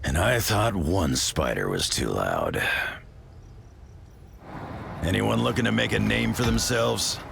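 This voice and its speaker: A man playing a gruff, battle-hardened loner brawler in a video game, with a gravelly growl. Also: lowish voice, expressive, slow, long pauses, a bit bright, slight background noise, exaggerated voice. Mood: gruff, menacing. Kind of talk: video game character voice line. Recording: music behind the voice.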